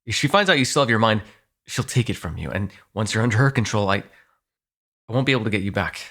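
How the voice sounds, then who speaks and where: A man playing a protective, sardonic male vampire in an ASMR audio roleplay, talking intimately and haltingly, with swearing and sighs. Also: on the low side, expressive, a bit bright. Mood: anxious, explaining.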